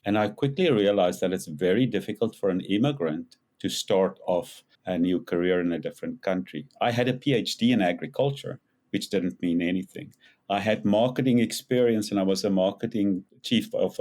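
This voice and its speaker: Older South African man, lower voice, animated guest storyteller. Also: fairly quick. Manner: animated storyteller, rises when acting out quotes. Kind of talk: casual interview storytelling.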